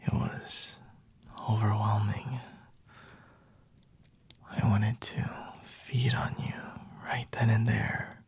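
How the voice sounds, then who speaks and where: A man playing a flirty, aloof, high-ranking vampire in an ASMR roleplay, speaking in a breathy, hushed whisper close to the mic with haughty, seductive pauses. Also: flat delivery. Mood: intimate, flirty. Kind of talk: ASMR roleplay, scripted monologue to the listener. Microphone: close-up microphone.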